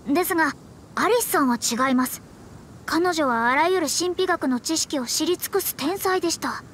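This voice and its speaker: A woman's voice: sits high, moderate pace, a bit bright, slight background noise. A woman voicing a proud young astrologer mage in an anime game, speaking politely and precisely with a slightly haughty air. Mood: composed, formal. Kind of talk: Japanese anime game voice acting. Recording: game music behind the voice.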